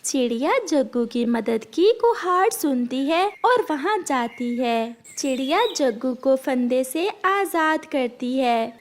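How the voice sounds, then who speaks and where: Woman, high voice, unhurried, scripted children's storytelling, faint background noise. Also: lively intonation. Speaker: narrator.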